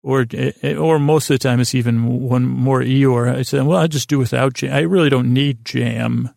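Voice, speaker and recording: Creaky, meandering American man. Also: mid-range voice, steady tone, darkish tone, dry recording, clean studio sound. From United States. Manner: meandering, halting, repeats himself, drifts into tangents. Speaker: podcast host. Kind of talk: rambling bedtime monologue.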